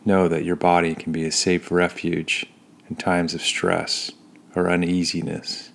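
A man leading a guided meditation in a calm, soothing manner, pausing between short phrases. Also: deep voice, gravelly. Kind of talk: guided body scan meditation.

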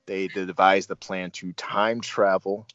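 A man talks through a casual film review as host, halting and rambling, with bursts of emphasis. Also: low-pitched, little pitch movement, fairly bright tone, dry recording, lo-fi sound. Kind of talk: casual movie review discussion.

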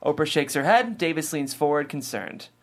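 A man's voice: fairly high pitch, animated, moderate pace. A man reading scripted stage directions as the narrator at a table read.

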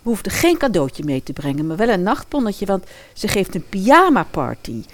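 A woman's voice: medium pitch, very animated, moderate pace, close to an everyday voice. A woman playing a modern schoolgirl in a fairy-tale radio play, breezy, frank and offhand. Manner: casual, offhand.

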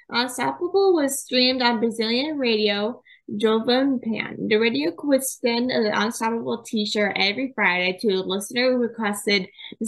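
A woman's voice: a little high, low-quality recording. Chatty young woman podcast host. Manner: chatty, many fillers like "like". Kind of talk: casual podcast interview.

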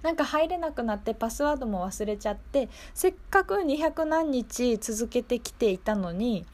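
Higher-pitched woman hosting radio. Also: animated, normal speed, darkish tone. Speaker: radio show host. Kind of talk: casual local radio hosting.

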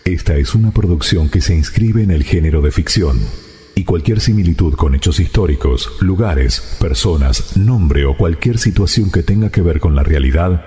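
Very deep male announcer with long reverb. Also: expressive, normal speed, dark tone. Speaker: station announcer. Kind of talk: scripted radio voice-over. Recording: reverberant voice-over production. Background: faint music.

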